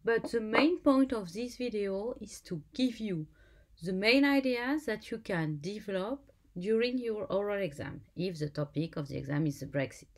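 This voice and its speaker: A French woman with a French accent, explaining a topic to exam students as a legal English teacher, often pausing and restarting her sentences. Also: medium pitch, lively intonation. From France. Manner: halting, self-correcting, with frequent restarts. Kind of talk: lecture-style explainer over slides. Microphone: voice-over.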